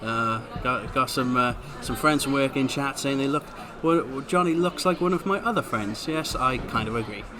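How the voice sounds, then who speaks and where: A man's voice: medium pitch, normal speed, few pauses, crowd noise. A man from Wales with a Cardiff accent, co-commentating a card game stream in casual chat, often restarting his words. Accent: Welsh, Cardiff. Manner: chatty, restarts and stammers.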